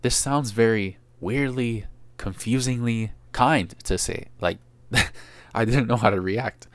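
A young man talking alone on his own podcast, reflective and questioning himself, a voice he has been told is monotone. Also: mid-range voice, slow pace, no echo. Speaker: podcast host and small YouTuber. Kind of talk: solo podcast monologue.

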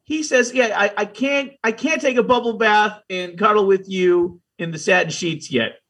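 Man, podcast co-host, husky, moderately high voice, hesitant, casual conversation. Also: normal speed, a bit bright. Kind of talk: casual chat.

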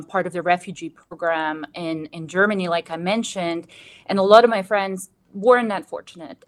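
A woman in her thirties, originally from Bosnia, answering interview questions in a measured, reflective way. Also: lowish voice. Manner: measured, reflective, frequent "to be honest" and "actually". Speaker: guest.

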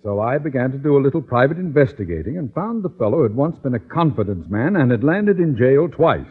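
A man's voice: medium pitch, big pitch swings, brisk, slightly rough. An actor playing an indignant, self-important older man in an old-time radio comedy, telling his story emphatically. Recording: narrow, tinny vintage radio recording.

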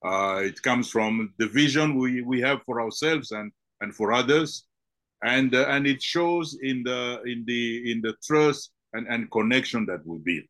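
A middle-aged man from the Congo, a podcast guest answering with many halting fillers and repeated words. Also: medium pitch, fairly bright tone, dry recording.

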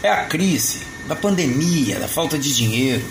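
An actor playing an older, weary retired mall Santa with a Rio de Janeiro accent, chatting colloquially in an audio drama. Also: male voice, fairly high pitch, animated, moderate pace. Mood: grumbling. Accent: Brazilian, Rio de Janeiro. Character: weary, wry retired mall Santa, encouraging old friend. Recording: roomy echo, faint background noise.

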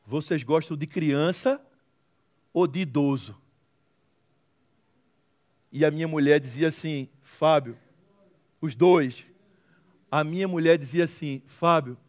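A man with a Brazilian accent giving a motivational testimony to an audience, telling stories dramatically with emphatic repetition and humor. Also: fairly high pitch. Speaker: guest speaker, social movement founder.

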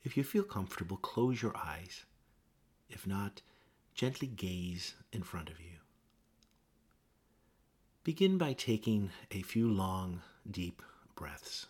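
A man gently leading a guided meditation with measured instructions and pauses. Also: low-pitched, lively intonation, husky.

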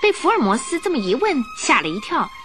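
A woman narrating a radio drama as an expressive, theatrical storyteller with scripted delivery. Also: high voice, fairly quick, soft background music.

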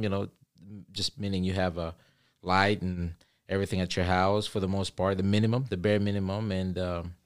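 Guest from Cameroon, man in his 30s, lowish voice, laid-back storyteller. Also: smooth. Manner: relaxed, conversational, with many fillers. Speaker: podcast guest. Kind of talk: casual interview storytelling. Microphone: studio podcast mic.

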